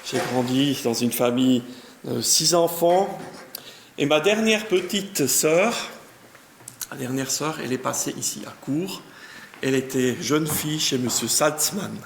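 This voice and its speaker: Brief: Swiss German-accented male pastor, 50s, genial and chatty, gravelly voice, middle pitch. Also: a little slow, slight background noise. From German-speaking part of canton of Bern, Switzerland. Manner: genial, chatty, self-deprecating, reads scripture with lively emphasis. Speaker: guest pastor. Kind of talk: sermon with Bible reading.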